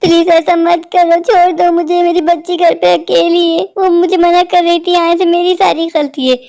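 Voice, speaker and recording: A woman's voice: very high-pitched, level tone, normal speed, creaky, darkish tone. A woman voicing an anxious, plaintive poor father who sells eggs in a cartoon story. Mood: pleading. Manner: plaintive, imploring. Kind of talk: Hindi cartoon story acting.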